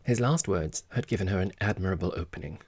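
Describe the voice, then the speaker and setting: A man's voice: deep voice, fast talker, a little mellow, breathy edge, no room sound. A man narrating a literary novel as an audiobook, reading from a script.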